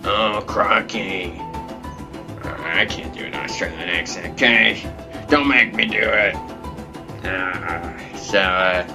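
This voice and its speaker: A man playing a brash, dim, impatient comedy show host, blunt and exclamatory, quick to dismiss people. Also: low voice, over music. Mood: grudging.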